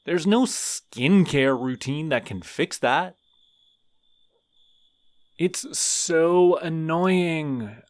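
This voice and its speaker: A man's voice: on the high side, very slow, pauses often, no echo. A man playing a lonely, awkward skeleton. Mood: grumbling. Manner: rambling, sheepish, over-apologetic. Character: friendly awkward male skeleton, lonely and eager. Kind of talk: audio roleplay to a listener.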